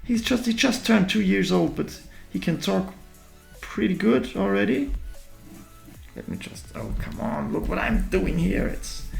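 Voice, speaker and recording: Gravelly man, slow drawing chat. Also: fairly high pitch, roomy sound. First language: German. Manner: halting, self-deprecating, thinking aloud while drawing. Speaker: artist. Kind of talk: live drawing commentary.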